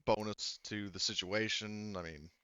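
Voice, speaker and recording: Guy game mastering, smooth lowish voice, informal and rambly, dry but slightly dull audio. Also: fairly even intonation, unhurried, bright, forceful tone, dry recording. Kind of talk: casual narration of a tabletop roleplaying game.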